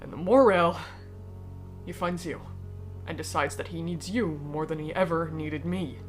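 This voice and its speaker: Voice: mid-range voice, faint music behind, natural voice. A performer in a fan dub voicing a cold, aristocratic alien noble who speaks formally and eloquently, bitter yet persuasive. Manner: formal, eloquent, measured speech. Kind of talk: fan-dub voice acting of comic dialogue.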